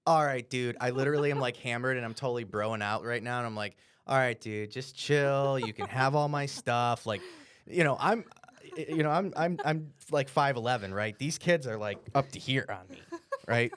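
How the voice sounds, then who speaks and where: An American man from Cleveland telling stories casually on a podcast, animated and profane, with bro-like slang. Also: mid-range voice, normal speed, smooth. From Cleveland, Ohio, United States. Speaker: podcast co-host. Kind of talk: casual storytelling.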